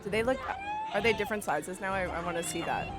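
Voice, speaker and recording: A woman guest on a movie reaction chat, playful and giggly with quick quips. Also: medium pitch, over loud music. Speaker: guest, singer-songwriter.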